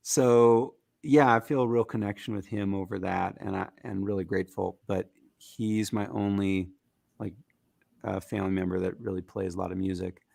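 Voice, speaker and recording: A man with a raspy, gritty voice, talking casually as a musician guest in an interview, rambling with fillers. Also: low voice, moderate pace, dry recording. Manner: relaxed, rambling with fillers and restarts.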